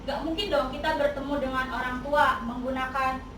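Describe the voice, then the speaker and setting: High-voiced young woman teaching. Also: steady tone, quick pace. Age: young adult. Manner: chatty, mixes in English example phrases. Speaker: English teacher. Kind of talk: classroom explanation. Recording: echoey room, distant mic, noisy.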